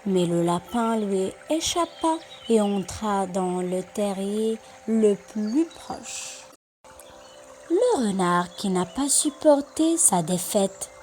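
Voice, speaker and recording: Slow-paced woman telling children's bedtime stories. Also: mid-range voice, warm tone. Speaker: children's storyteller. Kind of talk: scripted bedtime story narration.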